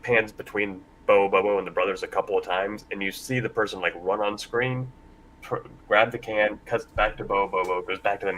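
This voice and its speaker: Deep, bright-voiced man moderating a panel. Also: low-quality recording. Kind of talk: casual panel discussion. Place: convention panel room. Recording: live panel with background noise.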